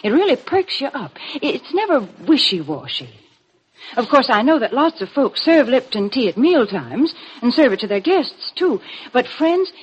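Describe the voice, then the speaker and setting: A cheerful American housewife chats and persuades in a 1940s radio ad. Her voice is high and very animated, on a dulled old recording. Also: husky. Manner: chatty, persuasive. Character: cheerful, chiding housewife tea spokeswoman. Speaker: commercial announcer. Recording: muffled vintage radio recording.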